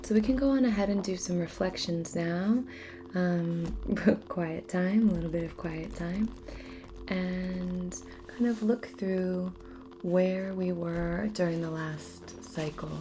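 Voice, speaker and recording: A woman speaking softly as host of a guided spiritual ritual, often slipping into a near-whisper, in a meandering, casual chat. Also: on the low side, takes it slowly, a little hiss or hum. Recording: music clearly under the voice. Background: music.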